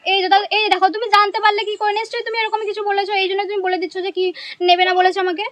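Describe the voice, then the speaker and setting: A young woman speaks. She talks very quickly in an informal vlogger's way, as on a prank call. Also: very high-pitched, little pitch movement, a bit bright, no room sound. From West Bengal, India. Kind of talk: casual prank phone call.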